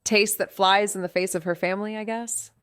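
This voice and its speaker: A woman's voice: medium pitch, steady tone, fairly quick, fairly bright tone, smooth, no echo, clean studio sound, natural voice. A woman playing a brash, sarcastic young sleuth. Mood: breezy. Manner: casual, sarcastic, filler-heavy. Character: brash, impulsive young woman amateur detective. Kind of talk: audio drama acting.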